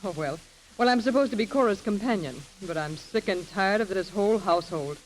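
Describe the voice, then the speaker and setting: A woman's voice: medium pitch, lively intonation, brisk, lightly acted, poor audio. An actress playing a polite but fed-up lady's companion in a vintage radio comedy. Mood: exasperated. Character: exasperated, proper young lady's companion. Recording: hissy vintage radio recording.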